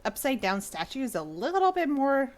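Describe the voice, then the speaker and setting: Animated woman commenting on a video game. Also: sits high, moderate pace. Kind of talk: casual gameplay commentary.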